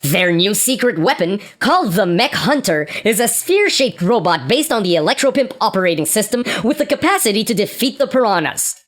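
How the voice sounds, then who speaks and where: Woman as lively, blunt sci-fi radio play lead. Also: mid-range voice, brisk, hardly any pauses, natural voice. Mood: newscaster delivery. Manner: blunt, wry, swearing. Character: exasperated, sweary starship captain and news anchor.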